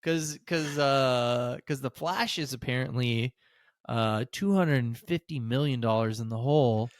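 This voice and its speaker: A man's voice: mid-range voice, a little slow, no room sound, clean studio sound. A young adult man co-hosting a casual podcast chat, joking around and often dropping into mocking voices. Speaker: podcast co-host.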